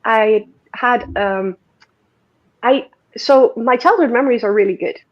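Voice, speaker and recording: A woman's voice: on the high side, a little slow. A woman with a Dutch accent talks casually as a guest, her thoughts rambling through fillers and restarts. The recording is a little dull and lo-fi. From Netherlands. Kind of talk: casual interview conversation.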